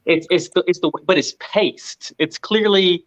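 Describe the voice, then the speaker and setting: A man's voice: sits high, a little slow, lo-fi sound. A man discussing movies casually as a panelist, repeating himself for emphasis. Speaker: panelist on a film review show.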